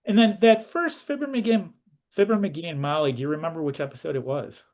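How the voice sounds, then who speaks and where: A man with a somewhat high-pitched voice acts as interviewer. He puts his questions in a casual, conversational style. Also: brisk, darkish tone.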